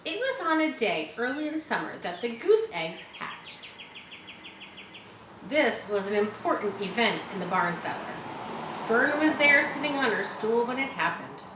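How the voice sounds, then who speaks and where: A woman reading a children's story aloud in a teacherly way, pausing to explain and chat to her listeners. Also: fairly high pitch, very animated. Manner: teacherly, explains words and adds chatty asides. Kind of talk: children's audiobook reading with asides. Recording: roomy echo with faint background noise.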